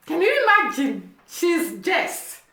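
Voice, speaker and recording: A woman with a very high, lively voice, speaking slowly and earnestly as she comments on a clip. Her tone is bright, and the recording carries a noticeable room echo. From Kenya. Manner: slow, earnest, explanatory. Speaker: reaction video host.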